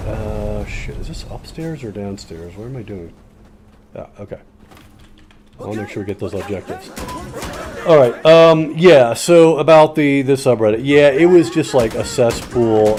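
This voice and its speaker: A mellow-toned man of ordinary pitch chats casually while he plays a shooter game. He rambles, swears freely and breaks off to answer his viewers. Faint gunfire sounds in the background. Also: a little hiss or hum. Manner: rambling, profane, reads and answers chat. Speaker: streamer.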